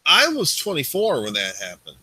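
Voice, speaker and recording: A man's voice: fairly high pitch, wildly swooping pitch, moderate pace, sharp tone. A man in his forties co-hosting an American talk show, emphatic, often repeating and restarting his words. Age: 40s. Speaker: co-host. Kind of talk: casual chat.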